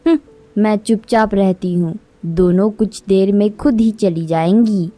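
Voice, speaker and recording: A woman's voice: medium pitch, a little slow, warm tone, dry recording, natural voice. A woman voicing a lazy, selfish bird auntie with a theatrical, whiny delivery in a children's cartoon story. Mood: smug. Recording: music behind the voice.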